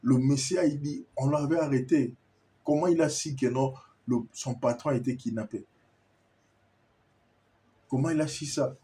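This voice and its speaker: Congolese French man, mellow and warm tone, insistent and repetitive, stumbles over words. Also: mid-range voice, moderate pace, long pauses. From Kinshasa, Congo. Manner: insistent, repetitive, stammers when searching for words. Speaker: commentator addressing viewers. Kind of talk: impassioned video monologue.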